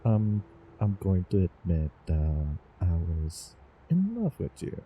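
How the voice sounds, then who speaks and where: A man playing a doting, obsessive young student from the future in a halting, intimate audio drama monologue with nervous chuckles. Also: sits low, huge pitch swings, narrow, tinny audio, slight background noise, heavily acted. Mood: lovesick, wistful. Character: doting, obsessive male time-traveling student in love. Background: soft background ambience.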